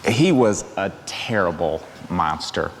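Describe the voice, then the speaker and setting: A man's voice: low-pitched, expressive, slow pace. A middle-aged man reading a picture book aloud to children, playful, with chatty asides. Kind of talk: animated read-aloud story time with asides to children. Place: large church hall, seated among children. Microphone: lavalier clipped to shirt. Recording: reverberant hall, faint background noise.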